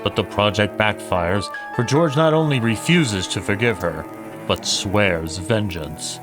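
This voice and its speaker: Low-pitched male old-time radio announcer. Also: a little slow, over music.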